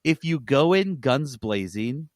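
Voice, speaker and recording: A man's voice: mid-range voice, very animated, takes it slowly, dry recording, studio quality. A man co-hosting a podcast, giving a casual, rambling review and often correcting himself as he goes. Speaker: podcast co-host reviewing games.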